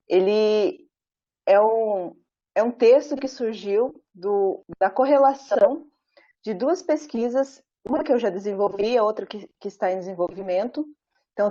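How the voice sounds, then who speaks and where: A Brazilian woman professor giving an academic talk over a video call, speaking in a measured, thoughtful way with frequent pauses and tag questions. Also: mid-range voice, warm tone. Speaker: professor presenting her research. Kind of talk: academic talk at an online book launch. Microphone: video call, camera off. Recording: muffled video call audio.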